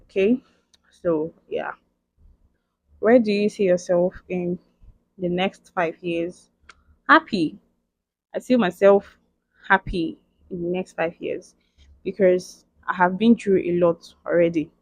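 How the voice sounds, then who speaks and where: A woman's voice: lowish voice, slow pace, pauses often, dry recording. A young woman, chatting playfully through a question-and-answer vlog, often tagging her lines with "okay". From Nigeria. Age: 20s. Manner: chatty, playful, emphatic, with frequent "okay" and "like" tags. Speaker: vlogger. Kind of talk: casual Q and A vlog.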